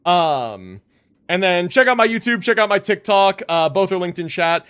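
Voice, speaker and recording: A man's voice: high-pitched, lively intonation, normal speed, a bit bright, clean tone. A young man chatting casually to his livestream viewers as a game streamer, informal and rambling, with free swearing.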